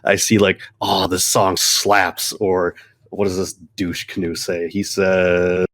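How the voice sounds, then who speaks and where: A man's voice: low voice, flat delivery, slow pace, fairly bright tone. A man hosting a gaming podcast, ranting casually in an exasperated, theatrical, profanity-heavy way.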